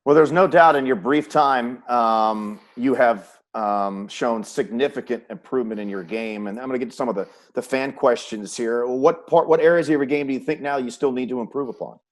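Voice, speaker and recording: A man hosting a conversational sports interview, asking wordy questions with halting, repeated starts. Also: mid-range voice. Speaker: host, sports play-by-play announcer.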